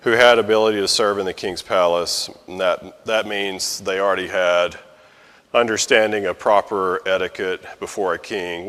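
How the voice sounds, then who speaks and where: Deep-voiced man teaching Bible study. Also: a bit bright. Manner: conversational, explains with fillers and restarts. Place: church meeting room. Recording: echoey hall with long reverb.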